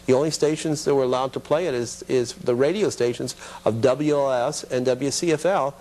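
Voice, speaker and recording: A man's voice: medium pitch, fairly quick, a little mellow. A middle-aged man, a television producer, casually reminiscing as an interview guest in a studio.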